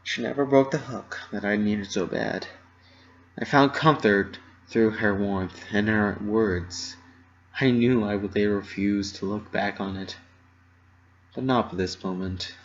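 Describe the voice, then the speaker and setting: Male amateur story reader, unhurried and creaky, dramatic and emotional, halting phrasing, lo-fi sound. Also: fairly low pitch. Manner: emotional, halting first-person narration. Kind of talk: dramatic reading of fan fiction.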